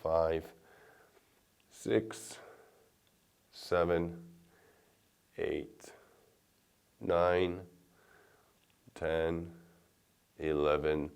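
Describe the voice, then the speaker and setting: A man with a slightly nasal, congested voice from a cold, giving casual, reassuring step-by-step instructions as an exercise instructor in a video. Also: sits low. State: blocked nose from a cold.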